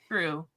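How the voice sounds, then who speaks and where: A woman hosting a casual book discussion livestream, chatting in an animated way.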